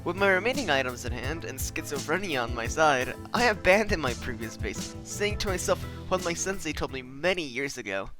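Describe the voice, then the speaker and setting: A young man narrating his gaming footage in a deadpan, mock-epic style, swearing casually. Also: on the high side, brisk, hardly any pauses, music in the background. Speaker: gaming vlogger. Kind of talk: comedic scripted narration over gameplay.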